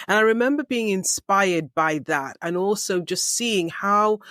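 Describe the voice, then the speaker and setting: Middle aged english lady being interviewed, measured n articulate, leans on key words, very dry audio. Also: fairly low pitch, no echo. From Manchester, England. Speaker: podcast guest, leadership consultant. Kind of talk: reflective personal storytelling in an interview.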